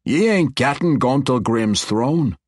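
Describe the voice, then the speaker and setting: A man voicing a gruff dwarf king with a brogue. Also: medium pitch, expressive, takes it slowly, no room sound, studio quality, voice pushed up. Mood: probing. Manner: folksy dwarven brogue, pointed questions. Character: gruff old male dwarf king, blunt.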